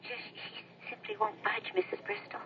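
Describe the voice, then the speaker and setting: An actress playing a gentle, reassuring woman who looks after a grieving widow in a radio drama. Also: moderate pace, muffled recording, a little hiss or hum, close to an everyday voice. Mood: concerned, resigned. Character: sympathetic female caregiver to a grieving widow.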